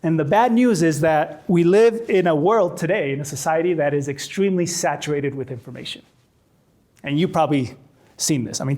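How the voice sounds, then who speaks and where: A man's voice: fairly high pitch, a little mellow. Chilean-born man leading a workshop. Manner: conversational, repeats words as he restarts. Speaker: storytelling workshop trainer. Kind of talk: workshop talk to faculty. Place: classroom with a live audience.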